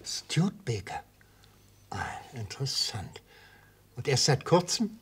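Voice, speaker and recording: Old prosecutor in radio drama, husky, swoopy intonation, sly n probing, loves the hunt. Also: male voice, medium pitch, very animated, fairly bright tone, heavily acted. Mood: inquisitive. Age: older. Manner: probing, theatrical, drawn-out. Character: aged gaunt prosecutor, shrewd, probing, relishing the hunt. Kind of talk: German radio play acting.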